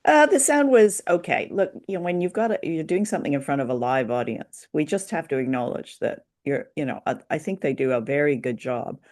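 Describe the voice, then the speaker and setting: A woman's voice: sits low, expressive, a little fast, few pauses, darkish tone. A woman from Canada co-hosting a podcast, talking through a review in a thoughtful, chatty way. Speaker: podcast co-host. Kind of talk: conversational discussion and review.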